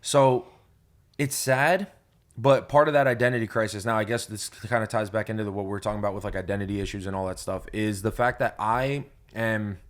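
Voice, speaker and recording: A man's voice: on the low side, steady tone, fairly quick. A young man from New York hosting a casual podcast chat, telling stories in a loose, rambling way with plenty of swearing. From New York, USA. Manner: loose and profane, rambling storyteller. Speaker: podcast host.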